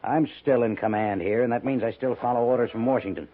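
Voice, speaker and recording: An American man playing a resigned army major and post commander, measured and a little defensive, in 1950s radio drama. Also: mid-range voice, close to an everyday voice. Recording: tinny, narrow-band old radio recording.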